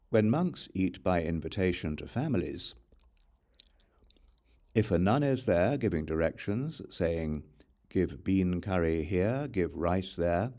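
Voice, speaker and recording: A man reading scripture as an audiobook narrator, in a measured, formal, scripted recitation. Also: deep voice, warm tone.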